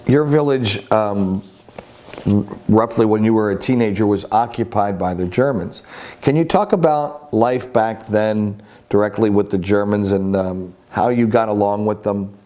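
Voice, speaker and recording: Mellow-voiced male interview host. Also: lowish voice, a little slow. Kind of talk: scripted intro then interview questions.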